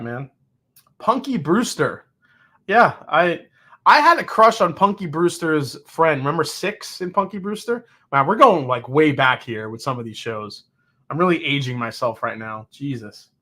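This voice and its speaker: Host, male, 40s: excitable, chatty live-stream talker with a somewhat high, bright voice. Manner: chatty and excitable, thinks aloud, quick exclamations. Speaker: host of a whiskey tasting show. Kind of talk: casual live tasting chat, reading viewer comments.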